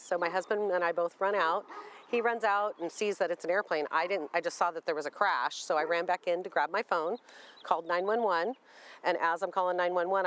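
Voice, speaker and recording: A woman's voice: fairly low pitch, a bit bright, clear, resonant tone. A woman recounting events as an eyewitness in an interview. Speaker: neighbor eyewitness. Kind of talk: interview recollection.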